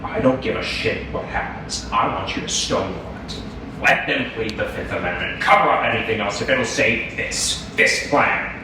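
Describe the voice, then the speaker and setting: A man's voice: on the low side, moderate pace, close to an everyday voice. A man playing a cursing, ruthless president. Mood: contemptuous. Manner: blunt, cursing, dismissive. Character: ruthless male president ordering a cover-up. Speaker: actor in a staged reading. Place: stage or theater. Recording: echoey stage from far off, with hiss.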